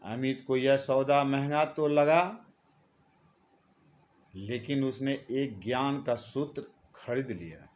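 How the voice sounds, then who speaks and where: A man narrating a scripted moral story as a storyteller, deliberately, phrase by phrase with frequent pauses. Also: mid-range voice.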